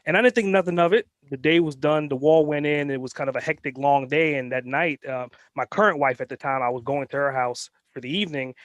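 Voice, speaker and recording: A man's voice: on the high side, fairly quick, few pauses, no echo. A man born in Trinidad and Tobago and raised in New York, a guest telling his life story in a casual interview, rambling with lots of fillers. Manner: rambling, anecdotal, many fillers. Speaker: guest entrepreneur.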